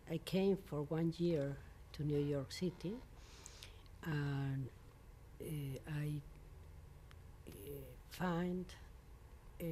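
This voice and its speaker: Low, slow Mexican woman composer. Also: dark tone, slight background noise. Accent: Mexican Spanish. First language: Spanish. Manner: halting non-native English with many fillers and restarts. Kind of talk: interview. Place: venue in New York.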